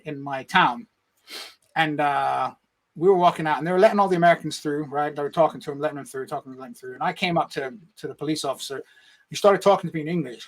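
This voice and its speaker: A man's voice: a little high, fairly bright tone. A man from Egypt telling a personal story in a casual interview, rambling and swearing, with frequent "right?" tags. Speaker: podcast guest.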